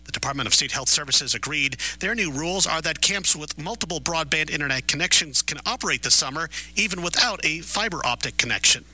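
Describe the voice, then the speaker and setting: A man's voice: fairly high pitch, animated, a little fast, bright, forceful tone. A man giving a scripted radio news report as a reporter.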